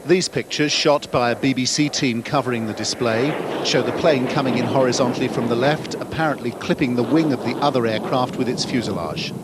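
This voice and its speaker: A man's voice: mid-range voice, a little fast, hardly any pauses. A British man narrating a scripted television news report as a reporter. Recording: archive TV report, noisy outdoor ambience.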